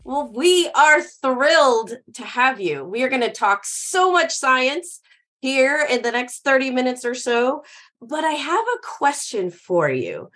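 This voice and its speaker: A woman's voice: high-pitched, big pitch swings, measured pace, a bit bright. A woman hosting a science podcast for kids, enthusiastic and exclamatory. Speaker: podcast host. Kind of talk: upbeat kids' podcast hosting.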